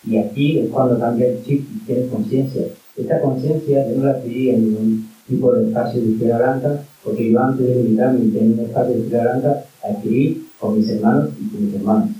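A man's voice: mid-range voice, steady tone, brisk, few pauses, distant mic, lo-fi sound. A man from Colombia speaking at a public talk, arguing passionately and leaning on fillers as he goes. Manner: impassioned, argumentative, full of fillers like "o sea" and "en plan". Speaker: panel speaker, migrant activist. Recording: roomy hall, voice picked up from afar, faint hiss.

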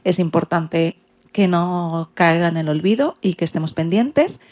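A woman's voice: lowish voice, measured pace, darkish tone. A woman with a Spain Spanish accent hosting a radio show in a loosely scripted, somewhat hesitant way.

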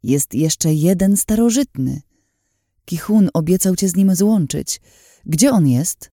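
A woman's voice: lowish voice, expressive, slow, mellow, no room sound, clean studio sound, pitched above the performer's own voice, full character voice. A woman voicing an earnest young daughter in an audiobook, urgent and emotional as she speaks. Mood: probing. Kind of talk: dramatised audiobook narration.

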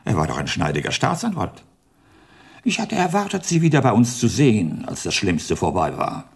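An actor playing a crotchety elderly retired judge in a German radio play, sardonic and halting, with old-fashioned turns of phrase. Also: male voice, on the low side, huge pitch swings, heavily acted. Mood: mocking. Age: older. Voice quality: gravelly, husky. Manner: halting, addresses listener archaically in third person.

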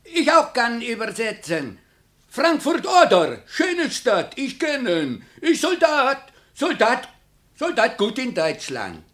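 A man's voice: very high-pitched, wildly swooping pitch, slow pace, a bit bright, exaggerated voice. An actor playing a chummy Russian railway policeman and former soldier, speaking broken German with a Russian accent in a radio play. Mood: eager, chummy. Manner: broken German in short eager bursts.

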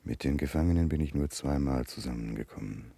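A grave Russian gentleman in a 1960s radio play. His voice is extremely deep and strongly husky. He speaks with measured, earnest restraint. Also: no echo, exaggerated voice. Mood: calm, reflective. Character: grave, remorseful Russian gentleman following a convict woman. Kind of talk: 1960s German radio drama acting.

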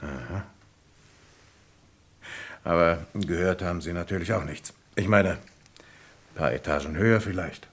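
A man's voice: low-pitched, breathy edge. A man playing a courteous, probing police inspector who hums thoughtfully in an old German radio crime drama. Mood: probing, calm. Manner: deliberate questioning with thoughtful hums.

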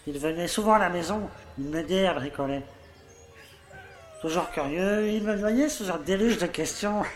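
A man's voice: high voice, moderate pace, lightly acted. A man playing a self-satisfied older village mayor in an audio drama, with a pompous, paternal way of talking. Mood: nostalgic. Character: smug, falsely kindly older village mayor. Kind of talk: theatrical monologue in an audio play. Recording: echoey room, faint background noise.

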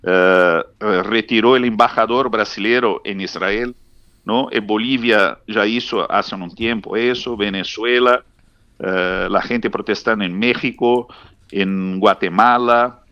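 Man speaking halting Spanish as a second language. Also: lowish voice, steady tone, a bit bright, clean tone, dry recording, highs cut off. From Palestinian community. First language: not Spanish. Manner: hesitant, restarts often, speaks with urgent conviction. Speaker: interview guest. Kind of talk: halting interview answers.

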